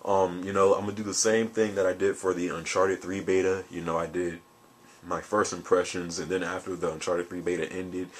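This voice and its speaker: A tired man with a very deep voice, chatting casually in a video and rambling with jokes, fillers and restarts. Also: little pitch movement, a little fast, slight background noise. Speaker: gaming video creator. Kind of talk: casual vlog chat.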